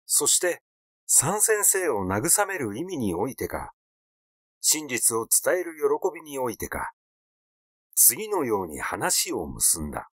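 A man narrates literary fiction in a measured storytelling manner. His mid-pitched voice moves in a lively way across its range, and the recording is dry, with hardly any room sound. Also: dry recording. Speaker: audiobook reader. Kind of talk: scripted literary narration, reading a story aloud.

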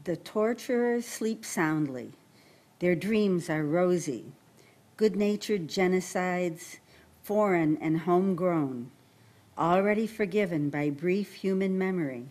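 A woman's voice: fairly low pitch, slow, darkish tone. An older woman reading a poem aloud at a podium microphone in a library meeting room. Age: older, 60s or above. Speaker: poetry reader.

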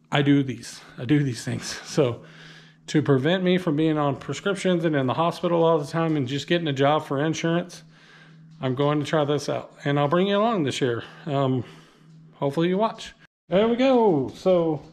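A man's voice: on the high side, darkish tone. Chatty man vlogging his meal prep. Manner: chatty, joking, self-deprecating, lots of fillers. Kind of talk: casual cooking vlog, talking to camera. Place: home kitchen, indoors.

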